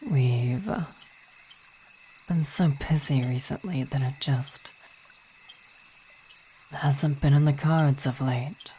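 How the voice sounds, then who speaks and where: A woman playing a weary vampire wife and coven commander, speaking in intimate ASMR roleplay with measured, hesitant, formal phrasing, tender yet imperious. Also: very deep voice, slight background noise. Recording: steady night insect ambience behind voice.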